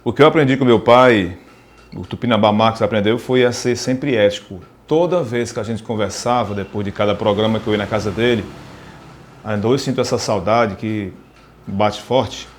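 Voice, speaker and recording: A man with a Northeastern Brazilian accent speaks in a medium-pitched voice, as if giving testimony in an interview. He feels his way through sentences, repeating small words. Some ambient noise sits faintly behind him. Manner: halting, repeats words while searching for them. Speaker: radio presenter, interviewee. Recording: noticeable background noise, ambient sound.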